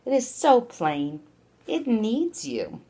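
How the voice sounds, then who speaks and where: Woman reading as polite, earnest storybook hermit crab, lively lilt. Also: fairly high pitch, takes it slowly, pitched above the performer's own voice, exaggerated voice. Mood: admiring, hopeful. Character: male hermit crab, polite, admiring, eager homemaker.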